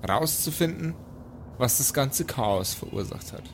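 A man's voice: mid-range voice, animated, unhurried, background noise. A man improvising narration as game master of a tabletop game, with mock-formal storytelling phrasing. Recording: music bed behind the voice.

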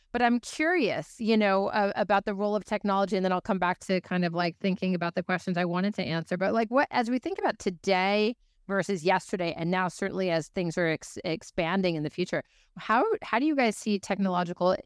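Woman, American accent, show host, mid-pitched, smooth, upbeat, conversational. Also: brisk, no room sound, studio quality. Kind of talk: hosting an interview show.

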